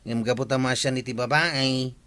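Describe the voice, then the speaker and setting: A man's voice: medium pitch, steady tone, moderate pace, fairly bright tone, smooth, lightly acted. A man with an Ilocano accent playing a needling village gossip in a radio comedy drama. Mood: teasing.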